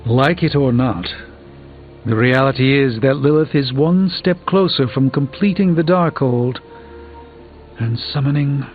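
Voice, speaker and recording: An actor playing a proud, grave sorcerer burdened by failure in a video game, speaking in a formal, dramatic, articulate manner. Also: male voice, mid-range voice, unhurried, narrow, tinny audio, faint music behind, natural voice. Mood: grave, resolute. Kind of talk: video game character dialogue.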